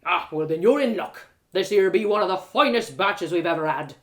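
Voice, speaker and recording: Lady doing a hearty storybook barkeep man, folksy, a bit lower and loud. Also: animated, moderate pace, close to an everyday voice. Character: portly bearded male barkeep, hearty and welcoming.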